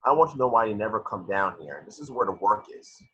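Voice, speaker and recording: A man playing a disgruntled spaceship chief engineer with a rough voice in a script table read. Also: on the low side, expressive, fast, fairly bright tone, lo-fi sound, full character voice.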